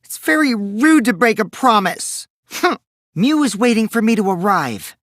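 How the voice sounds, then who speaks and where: A man's voice: high-pitched, lively intonation, a little slow, fairly bright tone, dry recording, clean studio sound. A man voicing a mischievous, taunting teenage boy in an English video game, with childish, singsong mockery and theatrical delivery. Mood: feigned innocence. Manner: childish, singsong mockery, theatrical swings from gleeful to coolly sly.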